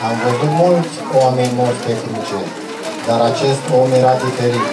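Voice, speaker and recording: A man playing a solemn Roman soldier in a puppet show, reciting gravely and a little stiffly. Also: medium pitch, over loud music, lightly acted. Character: solemn Roman soldier who witnessed the crucifixion. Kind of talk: puppet show interview acting, scripted. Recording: echoey distant recording over noisy crowd.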